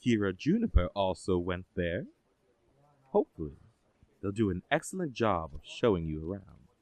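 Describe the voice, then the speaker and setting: Composed agency boss for a superhero audio drama, male actor: low, expressive, formal and assured. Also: moderate pace, no echo. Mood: composed, helpful. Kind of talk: scripted audio drama acting.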